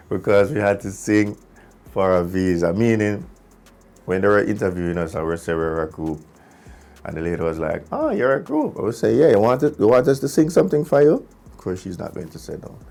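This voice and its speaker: A Jamaican man, a musician, telling a story casually in an animated way, with patois phrasing. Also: low-pitched, vocal fry. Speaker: musician, singer in a group.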